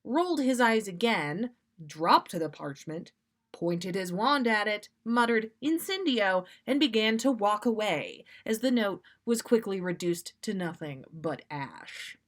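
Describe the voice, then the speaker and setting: A woman narrates a story in an expressive read-aloud style. She takes her time at a slow pace, with a mid-range voice, as a podcast host reading to listeners. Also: mid-range voice.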